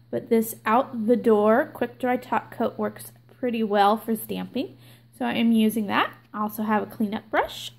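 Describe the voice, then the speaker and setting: A young woman hosting her own nail art channel, explaining a stamping tutorial slowly and with many ums. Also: a little high. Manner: slow, filler-heavy explaining with many ums. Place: indoors at a table, hands filmed from above.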